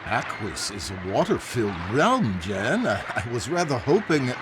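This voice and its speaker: A courteous adventurer's companion voiced by a male actor from a fantasy game. He speaks with wry, articulate politeness and a lively, swooping intonation. There is clear noise in the recording. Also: medium pitch, normal speed, lightly acted. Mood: wry, teasing. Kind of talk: video game cutscene dialogue. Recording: noisy game audio.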